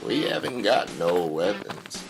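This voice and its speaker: A man plays a weary, folksy father in an audio drama. He drawls slowly and solemnly, with a lot of melodic movement in the voice, over clear music. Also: fairly low pitch, sing-song, full character voice, poor audio. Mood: weary, patient. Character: weary, folksy, cautious father, a poor drifter.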